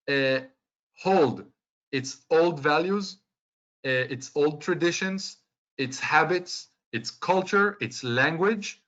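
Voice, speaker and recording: A young man giving an informal lecture to students, talking conversationally and often pausing with ums and restarts. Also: on the high side, fairly bright tone. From Israel. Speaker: lecturer and podcast co-host. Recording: dull lo-fi recording.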